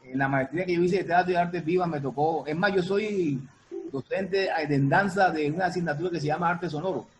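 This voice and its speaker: A woman's voice: on the low side, brisk. A woman talks in a casual panel. She repeats herself for emphasis and checks that others follow, with some room noise behind her. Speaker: dance teacher and theater artist. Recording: noisy room sound with ambience.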